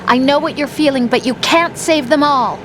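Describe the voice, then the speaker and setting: Concerned female ally played by a woman, high voice, gentle reasoning, game drama with clear noise behind. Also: normal speed, close to an everyday voice. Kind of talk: dramatic video game voice acting.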